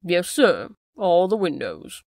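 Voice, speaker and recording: A woman's voice: on the low side, very animated, takes it slowly, a little mellow, dry recording, clean studio sound, pitched below the performer's own voice, heavily acted. A woman voicing a frightened, secretive male butler who pleads and evades questions. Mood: nervous. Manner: pleading, agitated, then stubbornly defiant.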